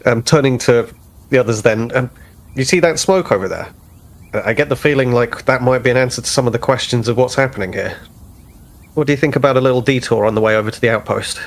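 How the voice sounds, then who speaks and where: A man's voice: mid-range voice, fast talker. A man with a mid-range voice plays in a tabletop role-playing session. He moves conversationally between asking about the scene, narrating his character and speaking as that character.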